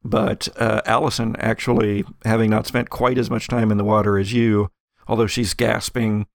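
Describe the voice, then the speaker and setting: A man working as game master, narrating a tabletop story in a halting, conversational, improvised way. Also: lowish voice, level tone, creaky, dry recording, studio quality.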